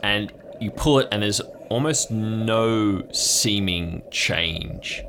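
A man acting as game master, improvising descriptive scene narration and pausing as he builds up the detail. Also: low voice, fairly bright tone, slight background noise.

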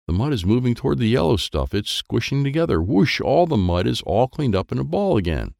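A man voicing an excitable, wistful small boy who narrates a children's storybook in simple, wide-eyed phrases. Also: medium pitch, fairly quick, gravelly, dry recording, clean studio sound, pitched above the performer's own voice. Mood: wide-eyed wonder. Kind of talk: first-person present-tense children's storybook narration.

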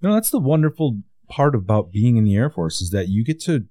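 A man's voice: mid-range voice, very animated, a little fast, warm tone, no room sound, clean studio sound. A man from Wisconsin with a Wisconsin accent, hosting a casual interview and telling stories in an easygoing way. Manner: easygoing storyteller. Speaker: podcast host.

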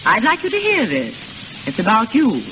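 An actress playing a haughty, accusing young society woman in 1930s radio drama, sharp and disdainful, on an old recording. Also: fairly high pitch, huge pitch swings, normal speed, lo-fi sound, slight background noise. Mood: coolly polite.

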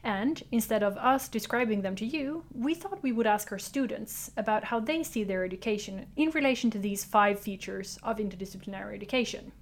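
A woman's voice: mid-range voice, brisk, hardly any pauses. Woman lecturer reading in a methodical way. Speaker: university lecturer. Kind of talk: scripted narration.

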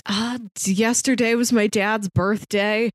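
A woman's voice: a little high, fairly even intonation, a little slow, no echo, clean studio sound. A woman in her thirties co-hosting a podcast, chatting casually in a playful, wry way. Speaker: podcast co-host.

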